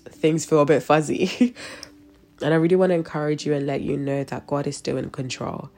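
Stuffy-nosed woman with gravelly low voice. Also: mellow. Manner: conversational, with frequent fillers and restarts. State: stuffy nose from a cold. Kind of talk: devotional talk with a spoken prayer.

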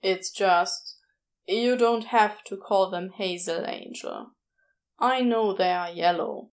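An awkward, self-conscious man, as a woman reader plays him in an audiobook. He is wry and blunt, speaking in short, halting, apologetic bursts. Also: mid-range voice, very animated, pitched below the performer's own voice. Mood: resigned, wry. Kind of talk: audiobook character dialogue.

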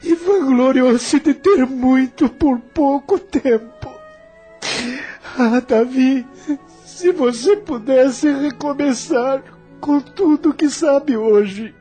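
A man's voice: extremely high pitch, slow, dark tone, slightly rough, soft background music, heavily acted. An actor playing a remorseful, broken male vagrant in a theatrical, lamenting Brazilian radio drama style. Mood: tearful anguish. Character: remorseful, broken male vagrant recalling his lost life.

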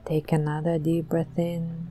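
A woman leading a guided meditation in a gentle, soothing, deliberate manner with long pauses. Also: deep voice, completely flat delivery, soft background music.

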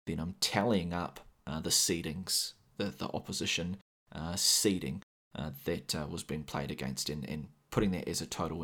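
Low-voiced New Zealand man hosting a podcast. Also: fairly bright tone. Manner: measured, explanatory, many "uh" fillers and restarts. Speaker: podcast host. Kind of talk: solo gaming podcast commentary.

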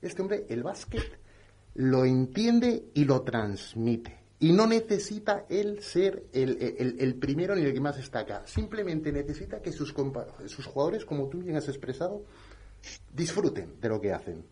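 A man talks basketball with passion in a relaxed chat. His voice sits a bit high for a man. He gets animated and stumbles over repeated words as he builds his points. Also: a little slow, slightly rough. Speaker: basketball podcast co-host. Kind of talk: casual chat.

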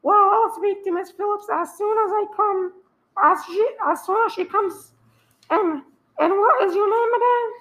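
A polite hospital receptionist in a radio drama, played by a woman. The voice is extremely high, the manner formal and businesslike, slightly halting and unruffled. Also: a bit bright, low-quality recording. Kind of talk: live radio play acting.

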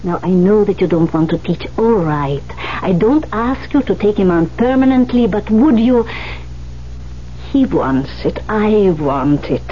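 A woman's voice: mid-range voice, animated, measured pace, close to an everyday voice. A woman acting in a 1970s radio drama, playing an old piano teacher, insistent, plain-spoken and commanding. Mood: pleading, coaxing. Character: bossy, persuasive old female piano teacher. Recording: dull 1970s radio broadcast, faint hum.

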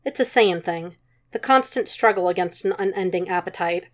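A woman's voice: lowish voice, normal speed. A woman reading a story aloud as scripted narration, in the manner of an amateur audiobook. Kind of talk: scripted narration of fan fiction.

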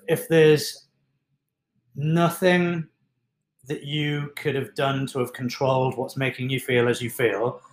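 A man's voice: medium pitch, a bit bright. A man, a fitness coach giving an earnest, encouraging talk on mindset. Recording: echoey room.

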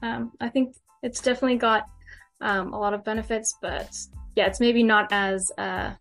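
American woman guest from Texas, slightly high voice, chatty, soft music behind. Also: moderate pace, gravelly. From San Antonio, Texas. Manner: relaxed, chatty, with "um" and "like". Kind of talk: casual interview chat.

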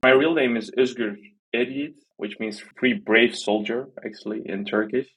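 A man's voice: mid-range voice, moderate pace, fairly bright tone, husky, poor audio. A man in his twenties from the Netherlands, chatting casually as a podcast guest in a self-deprecating, jokey way. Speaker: interview guest, art platform team member.

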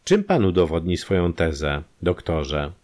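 A man's voice: sits low, sing-song, unhurried, a little mellow. A man voicing a cautious male police commissioner in a crime audio drama. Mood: questioning.

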